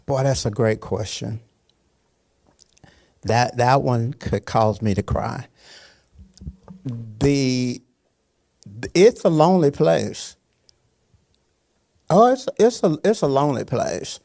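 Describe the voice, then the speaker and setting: A man's voice: mid-range voice, animated, takes it slowly, gravelly, long pauses, darkish tone. An older man speaking off the cuff as a panelist, driving points home with short, repeated phrases like a preacher. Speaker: panelist, pastor. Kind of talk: unscripted motivational panel talk.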